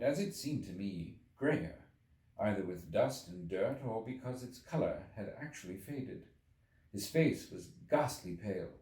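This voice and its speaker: A man reading a literary audiobook expressively, with measured, theatrical phrasing. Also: on the low side, darkish tone. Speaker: audiobook reader. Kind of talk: expressive scripted literary narration. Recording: echoey room, distant from the mic.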